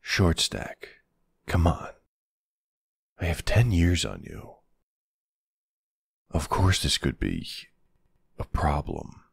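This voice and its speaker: Man acting as a very deep, gravelly older boyfriend. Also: sing-song, slow pace, pauses often, dry recording, studio quality, full character voice. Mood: nervous, tender. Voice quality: gravelly, husky. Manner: hesitant, sighing, casual endearments. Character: gruff, protective, tender older man, reluctant lover. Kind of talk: intimate ASMR boyfriend roleplay. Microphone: close, dry microphone.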